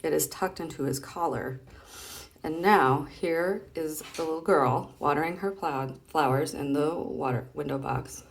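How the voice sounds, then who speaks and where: A woman's voice: sits low, measured pace, vocal fry. A woman casually reading comics aloud, chatty and playful, thinking aloud with some hesitation as she explains things.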